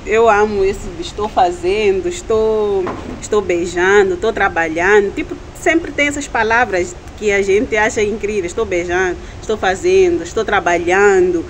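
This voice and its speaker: A woman's voice: mid-range voice, moderate pace, a little hiss or hum, rough recording. Chatty Mozambican woman reacting. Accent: Mozambican Portuguese. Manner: chatty, frequent tag questions and self-corrections. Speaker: reaction video host. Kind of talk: casual reaction chat.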